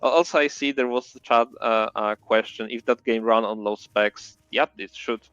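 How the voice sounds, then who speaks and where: Polish-accented man, smooth dull-toned voice. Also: mid-range voice, fairly bright tone, no echo. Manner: halting non-native English, many fillers. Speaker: indie game developer guest. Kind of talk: casual interview chat.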